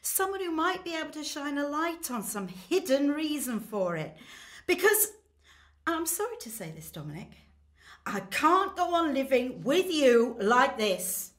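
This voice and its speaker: A woman's voice: sits high, big pitch swings, unhurried, a bit bright, full character voice. A woman playing an exasperated, worried wife, reproachful and insistent, in a radio comedy. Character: exasperated, worried wife delivering firm ultimatums.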